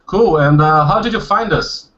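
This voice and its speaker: A Brazilian man runs a casual group video call with an upbeat, chatty energy. His voice is bright and sits a little high, and the audio comes through dull and lo-fi. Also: moderate pace. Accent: Brazilian Portuguese. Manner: upbeat, switches between Portuguese and English, laughs often. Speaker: host of a language-practice video call.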